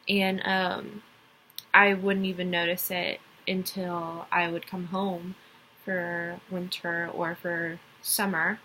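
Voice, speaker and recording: Slow-talking young Texan woman. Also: fairly low pitch, level tone, pushed and bright, slight background noise, low-quality recording. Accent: Texas. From Dallas, Texas. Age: young adult. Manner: rambling with many ums and restarts. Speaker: college student. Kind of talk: casual class presentation video.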